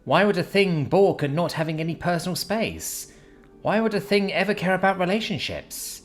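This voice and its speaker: A brash young woman who mocks and lectures her friend in a raised, bouncy voice, as a man reads her lines. She talks fast and blunt and never softens her point. Faint music sits behind her. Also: sits high, sing-song, voice pushed up. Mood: teasing. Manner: chatty, mocking, blunt.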